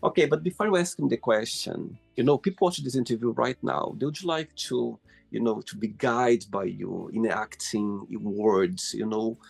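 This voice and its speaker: Gravelly Brazilian-accented male host. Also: fairly low pitch, moderate pace, faint music behind. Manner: effusive, many "you know" fillers. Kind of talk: casual interview.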